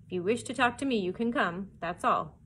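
A woman's voice: medium pitch, fairly quick, a bit bright, a little hiss or hum, lightly acted. A woman voicing a stern sea captain in a storybook, curt, commanding and full of disdain. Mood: scornful.

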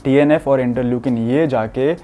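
Young man, doctor explaining to camera, earnest, warm and mellow, seated with a lapel mic in a quiet room. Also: mid-range voice, fairly even intonation, moderate pace, soft background music. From India. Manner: earnest, explanatory, mixes English medical terms into speech. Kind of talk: explanatory health talk to camera. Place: furnished studio room with curtains, indoors.

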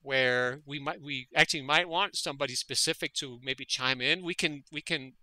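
A man's voice: mid-range voice, normal speed, sharp tone, no room sound. A man from Denmark, a veterinary parasitologist, speaking as a guest in an interview. He talks earnestly and often repeats words as he gathers his thoughts. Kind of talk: conversational interview.

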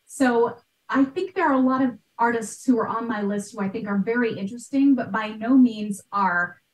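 A woman's voice: on the high side, moderate pace. A talkative woman, likely in midlife, explaining things emphatically in a conversational interview over a video call. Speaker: podcast host and art historian, interview guest. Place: small bright room at home. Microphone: computer mic on a video call. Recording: roomy echo, distant mic, video call.

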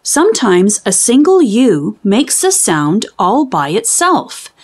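A woman hosting a children's read-aloud, reading a storybook expressively with deliberate, carefully enunciated teaching delivery. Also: mid-range voice, huge pitch swings, breathy edge.

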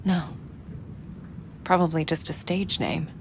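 A wry, determined young woman thinks aloud as she investigates in a video game. Her voice is fairly low and she takes her time, with a dry murmured delivery. Clear background ambience sits behind her. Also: steady tone, slow, background noise. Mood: wry, uneasy. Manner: dry, wry, musing to herself. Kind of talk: video game acting, murmured inner monologue.

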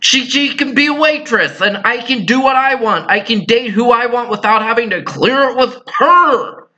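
A man voicing an excitable, scatterbrained middle-aged sitcom aunt in an amateur cartoon, eager, emphatic and stammering. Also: very high-pitched, moderate pace, highs cut off, exaggerated voice. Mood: eager, excited.

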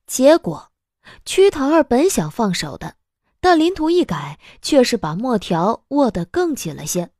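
A woman narrating a scripted audio drama in an expressive storytelling style. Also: fairly high pitch, unhurried, dry recording, clean studio sound.

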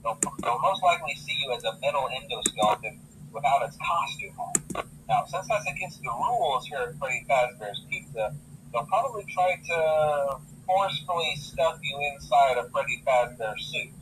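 A man's voice: medium pitch, expressive, moderate pace, lightly acted. A man voicing a casual, rambling phone guy who leaves a recorded message for a night-shift worker in a game, heard through a noisy, tinny phone recording. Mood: laid-back. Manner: casual, rambling, with filler words.

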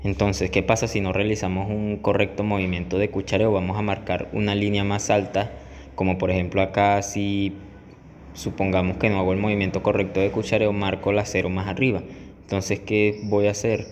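A man's voice: lowish voice, completely flat delivery, a little fast. A man giving step-by-step instructional narration as a barber teaching a tutorial. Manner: explanatory, step-by-step. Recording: echoey room with clear background noise.

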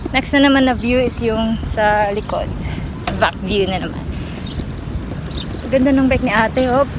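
A woman's voice: on the high side, normal speed. A young woman vlogging on a bike ride, chatty, laughing often and out of breath, filmed on a phone at arm's length outdoors. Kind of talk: casual vlog chat. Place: outdoors on city streets and at a small cafeteria. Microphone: phone camera at arm's length. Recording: traffic noise outdoors.

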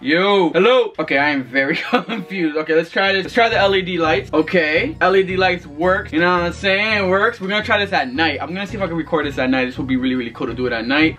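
A man in his twenties giving a casual, chatty product review to camera, slangy, sweary and excitable. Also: on the high side, fast, hardly any pauses. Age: 20s. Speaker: vlogger reviewing merchandise. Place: small bedroom, indoors.

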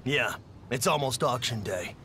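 An actor playing a brash, confident young pirate captain in a video game, with a swaggering delivery. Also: male voice, medium pitch, animated, a little slow, pushed and bright, slight background noise. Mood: dismissive. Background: music.

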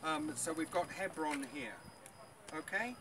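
A man's voice: fairly high pitch, a little slow. A middle-aged man leading a group tour outdoors, chatty with many ums and quizzing his listeners. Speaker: tour guide. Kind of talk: outdoor guided tour talk. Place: outdoors by an old stone wall. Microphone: far camera. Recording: noisy outdoor recording.

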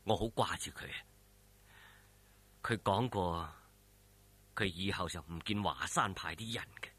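A man voicing a young swordsman hero in a storybook telling, low-key, sighing and ironic. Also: unhurried, bright, forceful tone, breathy edge, heavily acted. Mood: wistful. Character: defiant, wry young male swordsman hero. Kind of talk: Cantonese audio storytelling.

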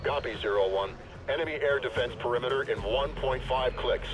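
An actor playing a brisk, controlled military mission controller, giving clipped procedural messages in a video game. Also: male voice, medium pitch, close to an everyday voice, low-quality recording. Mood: controlled, businesslike. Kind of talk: video game radio dispatch. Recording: music behind. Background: music.

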